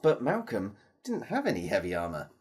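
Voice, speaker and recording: A storyteller telling a tale to children in a theatrical manner, with dramatic pauses and questions to the listeners. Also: medium pitch, huge pitch swings, darkish tone, breathy edge.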